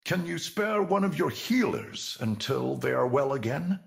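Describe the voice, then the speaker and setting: An actor playing a kind, generous noble husband who speaks decisively. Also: male voice, on the high side, big pitch swings, measured pace, gravelly, heavily acted. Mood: kindly.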